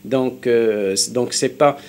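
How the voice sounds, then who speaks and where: A man with a Moroccan accent speaking as an expert guest in a studio interview, explaining haltingly and often rephrasing himself. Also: medium pitch, a little mellow, slight background noise. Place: television studio.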